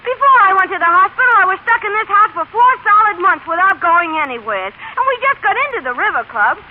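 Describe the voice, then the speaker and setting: High-pitched, restless young wife. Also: female voice, very animated, quick pace. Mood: excited, pleading. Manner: slangy, pleading, defiant. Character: restless, brassy young working-class wife and new mother. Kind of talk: 1930s radio acting. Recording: noisy, tinny 1930s radio broadcast.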